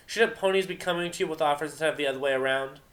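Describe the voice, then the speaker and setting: Casting: man plays cocky young unicorn mare DJ for storybook reading, raised pitch, brash and taunting. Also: rapid-fire, fairly bright tone, close to an everyday voice. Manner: brash, goading, indignant. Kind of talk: amateur dramatic fan fiction reading.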